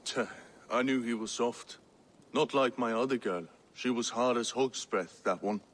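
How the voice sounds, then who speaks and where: A man's voice: medium pitch, measured pace, close to an everyday voice. A man playing a blunt, menacing, scornful medieval craftsman in a video game cutscene. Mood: suspicious, scornful. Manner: blunt, coarse. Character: gruff, foul-mouthed male medieval craftsman, menacing and scornful. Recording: long hollow reverb.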